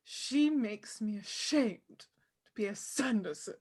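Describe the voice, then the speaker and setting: A woman's voice: on the high side, lively intonation, slow, a little mellow, husky, heavily acted. A woman playing a vain, short-tempered eldest witch sister, theatrical and haughty in her archaic wording, in a script read. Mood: scornful, indignant.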